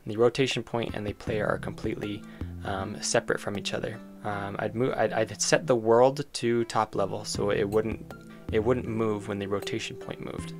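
Guy with a lowish creaky voice walking thru his game project, casual and into it, music in the back. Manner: informal, enthusiastic, thinking aloud. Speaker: game developer presenting own project. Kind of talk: casual screen-recorded demo commentary. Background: music behind the voice.

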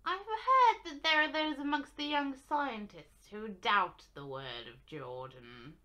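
A woman's voice: sits high, animated, slow pace, pushed and bright, heavily acted. A woman playing a suspicious, probing gatekeeper in an amateur radio drama. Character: suspicious gatekeeper to an old witness.

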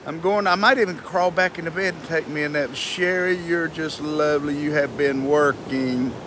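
A man's voice: a little high, moderate pace. A man in his fifties or sixties with a Southern US accent, chatting casually and jokily to livestream viewers in a folksy, teasing way. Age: 50s to 60s. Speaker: vlogger on a livestream, greeting viewers. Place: outdoors on a windy ocean beach. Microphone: phone at arm's length. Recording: outdoor phone audio, wind and surf noise.

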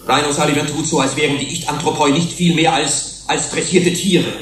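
An actor playing an earnest human rights commissioner pleading before a tribunal in a deliberate, rhetorical, formal way, in a German radio drama. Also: male voice, on the high side, fairly even intonation, a bit bright, close to an everyday voice. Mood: insistent. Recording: roomy hall echo, faint hiss.

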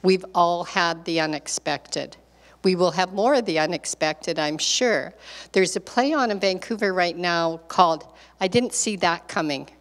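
A woman's voice: lowish voice, unhurried. An older woman speaking as a care partner on a panel, talking earnestly and encouragingly into a handheld microphone in a large meeting hall. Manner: earnest, encouraging, repeats key points. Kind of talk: panel talk, advice to audience. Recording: hall reverb.

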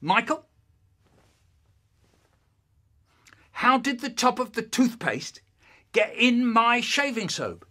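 A man's voice: very high voice, sing-song, measured pace, sharp tone, pitched above the performer's own voice, full character voice. Man acting an indignant, accusing English dad. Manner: emphatic, accusing questions. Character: indignant, interrogating father, exasperated and sharp.

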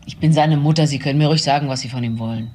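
A woman's voice: low-pitched, very fast, darkish tone, natural voice. An actress playing a nosy, agitated mother of a grown son who fires insistent questions in a crime drama. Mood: suspicious. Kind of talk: German crime radio drama acting. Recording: roomy, distant, heavy background noise.

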